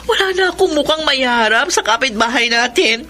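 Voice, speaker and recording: An actress playing an anguished, resolute adult daughter in a Filipino radio drama, with an emotional delivery and a Filipino accent. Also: female voice, high voice, animated, a little fast, sharp tone, a little hiss or hum. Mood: tearful despair. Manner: emotional, tearful.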